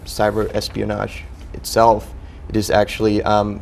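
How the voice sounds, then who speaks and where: Low, gravelly, halting Austrian man. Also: slight background noise. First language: German. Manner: halting, measured. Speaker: panel guest, policy analyst. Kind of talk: panel discussion. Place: television studio.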